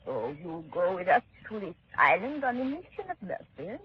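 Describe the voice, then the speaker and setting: Sinister madame, old radio. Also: female voice, lowish voice, sing-song, fairly quick. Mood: probing. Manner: formal, theatrical. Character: sinister foreign madame, falsely frail, coldly vengeful. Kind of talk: 1930s radio acting. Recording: dull, noisy 1930s radio recording.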